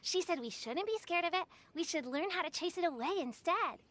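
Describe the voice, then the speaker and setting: Very high plucky child. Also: female voice, animated, brisk, a bit bright. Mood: hopeful. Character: trusting, plucky young village child. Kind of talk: anime video game voice acting.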